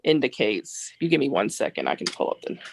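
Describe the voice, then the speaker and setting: A woman's voice: extremely low pitch, very animated, quick pace, gravelly, husky, dry recording, low-quality recording. A woman speaks as an attorney advising a formal meeting on a video call. Her delivery is precise and explanatory, and the sound is dry with little room in it.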